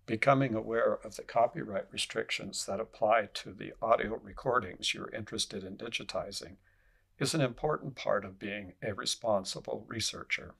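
A man reading scripted instructional narration in a measured, formal way, as an instructor would. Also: lowish voice, gravelly, a bit bright, husky.

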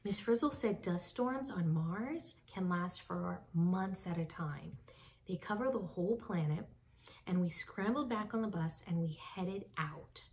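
A young woman reading a children's book aloud expressively, with dramatic pauses, addressing young listeners. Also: lowish voice, expressive, darkish tone. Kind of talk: expressive read-aloud storytelling. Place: small home room with bookshelves. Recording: slightly distant mic, faint background noise.

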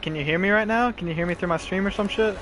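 A young man chatting casually and swearing while he plays a horror game with teammates, giving quick directions. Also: sits high, background noise. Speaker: streamer playing a horror survival game. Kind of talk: casual gameplay chat with teammates. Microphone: console headset mic.

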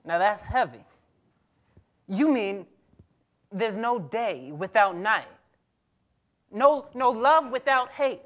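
A man's voice: sits high, animated, takes it slowly, pauses often, a bit bright, full character voice. A young man playing a skeptical, aggrieved teenage boy in a dramatic stage reading, with colloquial, pointed, questioning delivery. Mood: challenging. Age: young adult. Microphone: lapel mic on a stage.

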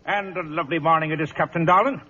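A man playing a chipper, familiar cavalry sergeant with a husky voice in an old radio drama. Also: fairly high pitch, fast talker, sharp tone. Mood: cheery. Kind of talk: 1950s radio drama acting. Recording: dull old radio recording.

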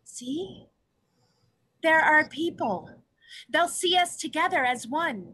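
Female orca leader woman actor: forceful, higher voice, earnest and solemn, declaiming hope in a play reading. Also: lively intonation, slow, heavily acted. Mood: earnest, urgent. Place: home room, video call.

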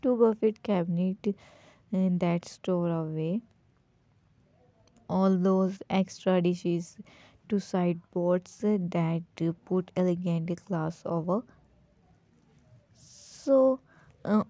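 A woman hosting a home decor video, talking slowly over a slideshow, often saying "so viewers" and pausing with "uh". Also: lowish voice, steady tone, mellow, dry recording. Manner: repeats "so viewers", many "uh" pauses. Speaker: channel host.

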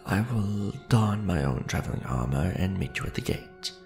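An ancient vampire lord played by a man speaks in a heavily creaky voice that swings widely in pitch. He is formal and grandiose, a devoted yet ruthless aristocrat in an ASMR roleplay. Faint music sits behind his gravelly words. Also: expressive, a little mellow. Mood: commanding, cautioning. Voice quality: deep, gravelly. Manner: formal, grandiose, deliberate phrasing with pauses.

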